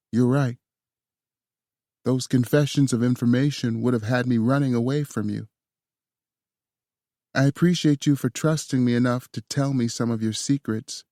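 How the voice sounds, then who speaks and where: A male reader raising his voice to play a forgiving young woman, softer and higher than his narration. She speaks slowly and heartfelt. The sound is dry and close. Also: no room sound, close to an everyday voice.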